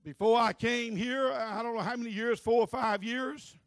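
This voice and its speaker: A man with a Southern accent preaching at a church convention, rising from quiet talk to shouted preaching and calling out "come on" and "help me" to the congregation. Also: very high-pitched, fairly even intonation, moderate pace, no echo, studio quality. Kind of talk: Pentecostal revival sermon.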